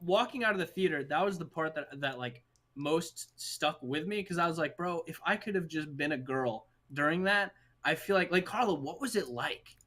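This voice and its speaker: A man's voice: on the high side, lively intonation, normal speed, fairly bright tone. A young man chatting casually as host of a movie livestream, emphatic, slangy and freely swearing. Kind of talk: casual chat.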